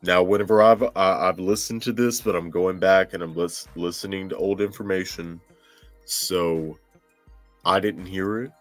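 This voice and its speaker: A man hosting a podcast, giving casual true crime commentary in a halting way, as if thinking aloud. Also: low voice, fairly even intonation, soft background music. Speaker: podcast host.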